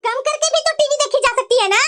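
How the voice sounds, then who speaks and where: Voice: very high voice, very fast, fairly bright tone, soft background music. A performer voicing a doting, scheming middle-aged mother-in-law in a dramatic family cartoon, drawing out the coddling and complaints. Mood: scolding, resentful. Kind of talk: Hindi family moral-story cartoon voice acting.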